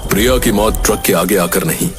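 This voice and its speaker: A man's voice: on the low side, brisk, over music, close to an everyday voice. An actor playing a grave young man in a cartoon story, speaking in a serious, dramatic, explanatory way. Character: grave young man warning his friend about witchcraft. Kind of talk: Hindi cartoon story dubbing.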